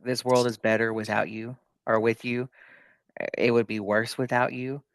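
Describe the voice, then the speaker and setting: A man's voice: medium pitch, level tone, normal speed, no room sound. A man from the United States speaking as a political candidate in a conversational interview, plain-spoken and repeating phrases for emphasis. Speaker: political candidate, podcast guest.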